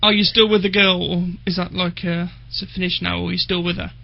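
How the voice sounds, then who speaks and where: A man in his thirties hosting a radio interview, asking questions in a friendly, halting way. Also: sits high, little pitch movement, gravelly, dry recording. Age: 30s. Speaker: radio host. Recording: muffled lo-fi radio recording.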